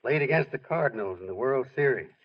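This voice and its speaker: A man's voice: mid-range voice, animated, quick pace, close to an everyday voice. Folksy male storyteller, played by an actor. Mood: reflective. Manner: colloquial, storytelling. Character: folksy male friend recounting a ballplayer's life. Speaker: narrator. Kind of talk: 1940s radio narration. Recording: muffled old radio recording.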